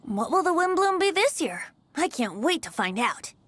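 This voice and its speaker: A woman's voice: extremely high pitch, sing-song, moderate pace, fairly bright tone, a little hiss or hum, exaggerated voice. A woman voicing a playful, wistful young boy bard in a video game, with a light, boyish, teasing delivery. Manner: teasing, lilting, theatrical. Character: playful, wistful youthful boy bard, secretly a wind spirit. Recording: music behind the voice. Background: game music.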